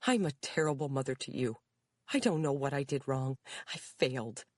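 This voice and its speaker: A woman's voice: rumbling low, expressive, normal speed, a little mellow, no room sound. A woman reading aloud, voicing a distraught mother who sobs and blames herself. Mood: anguished. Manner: sobbing, anguished. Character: distraught mother, sobbing, self-blaming.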